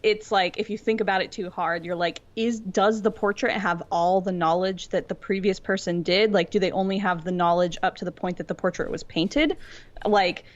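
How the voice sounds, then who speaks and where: A woman's voice: medium pitch, fairly even intonation, moderate pace. A dull, lo-fi recording of a woman with a mid-range voice. She talks casually, like a podcast co-host breaking down a fan theory. Her phrasing is informal and explanatory, full of "like". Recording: dull lo-fi audio.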